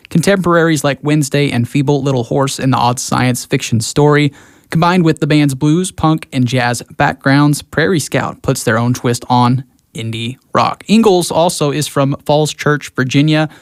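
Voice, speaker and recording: A man's voice: medium pitch, no room sound. An American man presenting a local music show on the radio, speaking from a studio.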